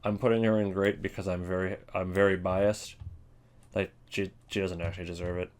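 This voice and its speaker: Low-voiced man, casual commentary. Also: little pitch movement, fairly quick, smooth. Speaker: host of a game voice line ranking video.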